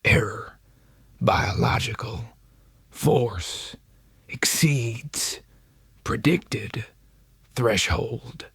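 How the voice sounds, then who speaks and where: A man voicing a cold crystalline alien scout in a breathy, rasping whisper, speaking in clipped, machine-like single words. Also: mid-range voice, a bit bright, no room sound, studio quality, pitched above the performer's own voice, heavily acted. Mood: cold, failing. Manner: halting, word-by-word machine-like delivery.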